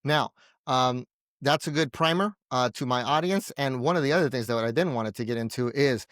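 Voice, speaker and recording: A man's voice: medium pitch, normal speed, a bit bright, dry recording, studio quality. A man hosting an interview show, speaking conversationally in rambling, wordy phrasing as he addresses his guest and audience.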